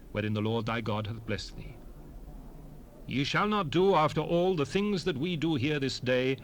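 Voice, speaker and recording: An actor playing a solemn, commanding prophet addressing his people in a dramatized scripture reading, with measured, declamatory phrasing. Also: mid-range voice, fairly bright tone. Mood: stern, emphatic. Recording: noisy 1970s cassette rip, ambient background.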